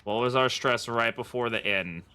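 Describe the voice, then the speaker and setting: A man's voice: medium pitch, moderate pace, bright, forceful tone, clean tone. A man with an American accent giving casual, chatty live game commentary, joking and muttering to himself as he talks to chat. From born in England, grew up in the United States. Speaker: game streamer.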